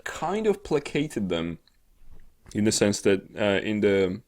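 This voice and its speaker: A man's voice: on the low side, very animated, slow pace, a little mellow. A man co-hosting a comedic video game podcast, chatting casually in a rambling, sweary way. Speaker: podcast co-host. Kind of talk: casual comedic chat about video games.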